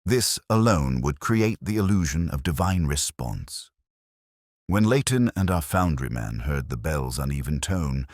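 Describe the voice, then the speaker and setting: A man plays a coolly analytical detective in a mystery audiobook, with a very deep voice. He speaks gravely and precisely, with expressive rises and falls. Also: no room sound, studio quality, full character voice. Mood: grimly certain. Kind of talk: audiobook character dialogue.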